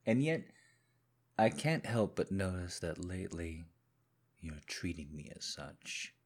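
Guy doing a deep-voiced creepy husband, slow and breathy, whispering threats. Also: big pitch swings, full character voice. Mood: quietly menacing. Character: menacing controlling husband confronting his wife. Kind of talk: audio roleplay.

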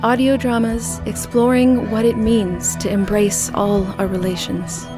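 A woman's voice: fairly high pitch, level tone, unhurried. A woman reading a scripted programme introduction as an announcer. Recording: music behind the voice.

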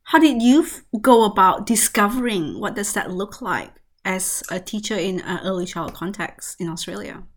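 A woman's voice: medium pitch, moderate pace, hardly any pauses. A woman from Malaysia hosting a podcast, supportive, asking long winding questions. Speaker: podcast host. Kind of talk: interview hosting.

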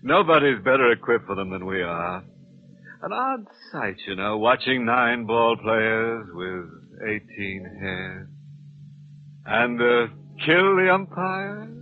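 Creepy mock-friendly horror host, drawn out and swoopy, old thin radio recording w faint noise. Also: male voice, mid-range voice, very animated, phone-quality sound. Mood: gleeful macabre. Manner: slow, drawn-out, chuckling, swooping. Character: ghoulish, gleefully macabre horror host with mock-cordial charm. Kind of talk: 1940s radio horror hosting.

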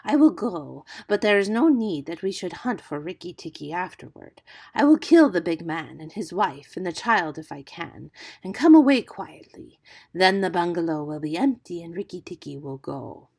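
Woman as a hushed, menacing male cobra. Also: fairly low pitch, big pitch swings, creaky, slightly rough, full character voice. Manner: hushed, deliberate. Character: menacing male cobra, obedient murderous husband.

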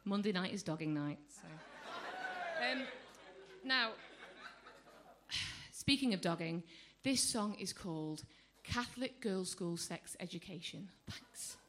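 A woman's voice: fairly low pitch, expressive, slow, a bit bright. A woman doing musical stand-up on stage, with an awkward, apologetic, understated delivery. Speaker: musical comedian. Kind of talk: stand-up between comic songs. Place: live comedy club stage. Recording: noisy live room.

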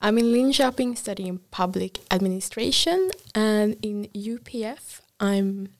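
A woman's voice: mid-range voice, measured pace, a little mellow. A young woman with a Swedish accent, answering casually as a student guest in an interview. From Sweden. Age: young adult. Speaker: podcast guest, student association president.

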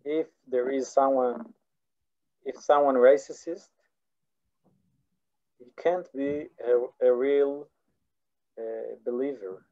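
Israeli-accented man, slow deliberate speech, earnest, stumbles and repeats words, dull lo-fi sound. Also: mid-range voice, fairly even intonation, warm tone. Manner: earnest, halting, repeats words while searching for English. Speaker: guest scholar. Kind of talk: philosophical interview answers. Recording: dull lo-fi audio.

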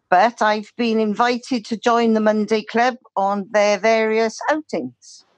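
An older woman, a retired library volunteer, speaking gently as a podcast guest. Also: medium pitch, dry recording.

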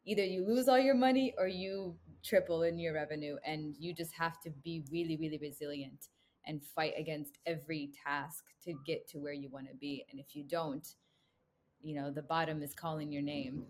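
A young woman from the United States with a low voice, speaking as a guest in a relaxed interview. She talks earnestly and at length, leaning on "you know" as a filler. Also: moderate pace, a little hiss or hum. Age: young adult. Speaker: guest, brand co-founder. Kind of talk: casual interview chat.

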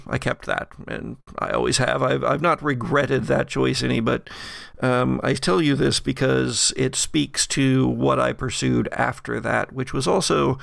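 A man playing an earnest, scholarly student of the arcane in an improvised roleplay, speaking in a halting, deliberate, self-effacing way. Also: medium pitch, vocal fry, no echo. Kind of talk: improvised in-character actual-play roleplay.

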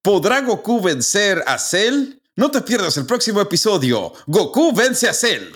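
A man doing a cartoon promo announcer, raised pitch, excited, exaggerated suspense, parody TV voice-over. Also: a little slow, slightly rough, heavily acted. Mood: mock suspense. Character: excited over-the-top cartoon episode announcer teasing plots.

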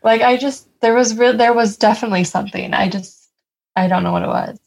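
A woman's voice: on the high side, a little fast, gravelly, a little mellow. A woman co-hosting a podcast in casual, easygoing, giggly conversation. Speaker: podcast co-host. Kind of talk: casual chat.

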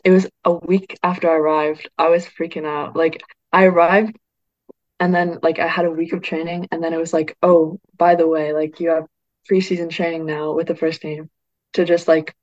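A woman's voice: sits low, dry recording, muffled recording. A teenage girl from Northern England, a youth football player, chatting casually in an interview, often saying "like". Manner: chatty, peppered with "like", excited.

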